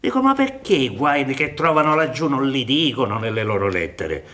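A man's voice: mid-range voice, big pitch swings, normal speed, fairly bright tone. An older man acting in a radio play as a grumbling, sardonic old Sicilian peasant, exclamatory and full of muttered asides. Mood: bitter grumbling.

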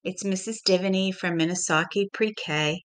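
A middle-aged woman reading a picture book aloud to young children in a deliberate, expressive way, like a teacher. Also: fairly low pitch. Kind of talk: children's storybook read-aloud.

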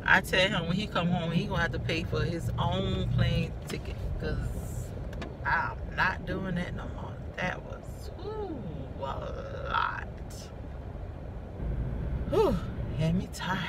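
A woman's voice: lowish voice, very animated, slow. A middle-aged woman, chatting away in a casual car vlog, sassy and full of exclamations. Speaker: vlogger. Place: inside a car. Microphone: phone camera on the dashboard. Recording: far from the phone mic, loud car noise.

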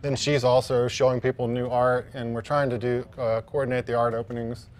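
A man's voice: medium pitch, a little fast. A man in his forties, a guest chef on a TV kitchen set, talking loosely with lots of ums while he cooks, on a lapel mic. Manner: relaxed, loose, with many ums. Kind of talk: casual cooking demo chat.

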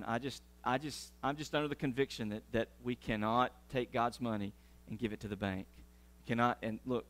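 A middle-aged pastor speaks to his congregation, moving about the stage as he talks. He is animated and uses lots of gestures, with a voice of middling pitch. He is recorded on a clip-on mic in a large church sanctuary. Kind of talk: sermon with church announcements.

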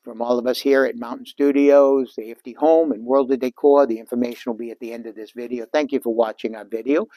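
A man's voice: medium pitch, steady tone, fast talker, few pauses, warm tone, dry recording. An older man hosting a walking tour of a large furniture showroom, talking to viewers in an enthusiastic salesman's patter through a lapel mic.

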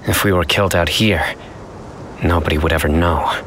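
An actor playing a stoic, vigilant young lawman in a video game, speaking in a serious, measured, commanding way. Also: low-pitched, fairly even intonation, a bit bright, slight background noise. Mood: suspicious, focused.

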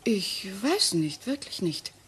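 An actress playing a concerned, practical, sceptical daughter in a radio crime drama, insistent and questioning. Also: female voice, medium pitch, sing-song, slow pace, a bit bright, husky, exaggerated voice. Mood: concerned probing.

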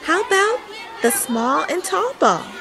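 A woman voicing a sensitive young schoolboy in a children's storybook, soft and hesitant. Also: extremely high pitch, big pitch swings, measured pace, vocal fry, a crowd in the background, background noise, voice pushed up, heavily acted. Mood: gentle.

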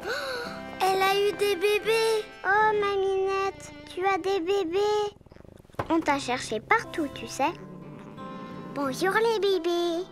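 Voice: very high voice, slow, over music. A performer voicing an eager, tender little boy bear cub in a preschool cartoon, with simple childlike exclamations. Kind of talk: French preschool cartoon acting.

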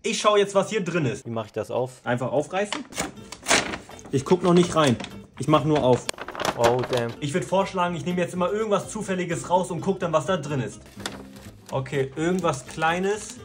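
A man in his twenties chatting casually to camera as a vlogger, in an animated, slangy way. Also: a little high, moderate pace, over music. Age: 20s.

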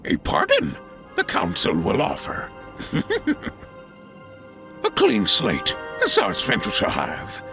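A man playing a tiny, ancient, wise alien master with a gravelly croak, measured cryptic delivery and inverted word order, in an audio drama. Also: medium pitch, wildly swooping pitch, music in the background, exaggerated voice. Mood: measured, coaxing. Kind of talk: fan audio drama acting.

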